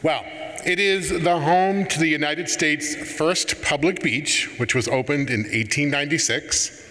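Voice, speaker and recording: Brief: man with a Boston accent, bright and forceful, teasing trivia, hall reverb with a faint crowd. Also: on the high side, a little slow. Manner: lively, teasing buildup. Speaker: conference presenter. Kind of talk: playful trivia presentation. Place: large conference hall.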